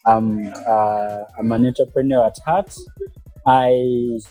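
A young man from Uganda, a guest entrepreneur, talking in a podcast interview with hesitant pauses and restarts. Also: medium pitch, fairly even intonation, soft background music, low-quality recording. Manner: hesitant, many fillers and restarts, soft-spoken.

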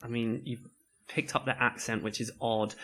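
Man, young adult, bright tone, casual rambling chat, laughs a lot. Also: flat delivery, normal speed. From England. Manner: rambling storyteller, laughs often, mimics people in higher voices. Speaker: podcast co-host.

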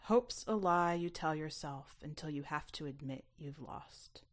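A woman's voice: sits low, unhurried. A woman voicing a weary, guarded man in a story, evasive and cynical.

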